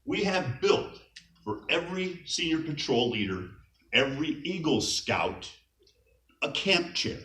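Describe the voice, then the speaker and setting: A man's voice: a little high, expressive, a little slow, fairly bright tone, distant mic. A middle-aged man, a scout leader, gives an informal eulogy in a conversational way, sharing light anecdotes and chuckling now and then. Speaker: scout leader speaking at a memorial. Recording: echoey hall, speaker off-mic, faint noise.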